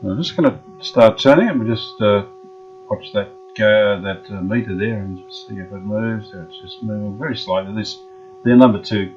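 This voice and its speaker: A man restoring an old radio, explaining each step in an unhurried way with many pauses and fillers. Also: on the low side, lo-fi sound. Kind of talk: casual workshop demonstration.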